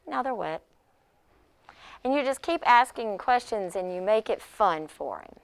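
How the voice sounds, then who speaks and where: A woman who teaches pre-K, demonstrating an interactive read-aloud in an expressive manner, as if speaking to young children. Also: mid-range voice, a little slow, gravelly.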